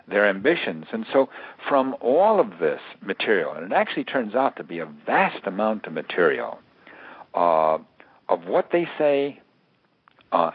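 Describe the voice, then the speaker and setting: A dry, phone-like recording of a man with a fairly low voice. He explains things as an expert guest would, deliberately, pausing often and building his points in short phrases. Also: no room sound. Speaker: interview guest, author and terrorism analyst. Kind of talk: measured expert explanation in an interview. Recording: thin, dry phone-line sound.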